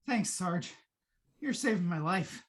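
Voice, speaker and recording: A woman's voice: on the low side, lively intonation. A woman playing a jittery, wheedling, wisecracking repairman in a 1950s radio play, talking in slangy patter. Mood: anxious, relieved. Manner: slangy fast-talking gangster-style patter. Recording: noisy live radio play stream.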